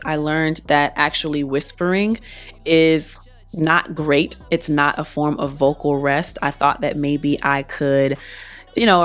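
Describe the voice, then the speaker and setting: A young adult woman and singer chatting casually to camera in a soft-spoken, reflective way while recovering from laryngitis. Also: sits low. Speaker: singer and vlogger. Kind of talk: casual talking-to-camera vlog.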